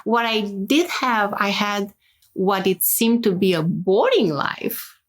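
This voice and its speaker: A woman's voice: mid-range voice, animated, slow pace. A woman with a Romanian accent, a hypnosis practitioner explaining her work as an interview guest and tagging her points with "right?".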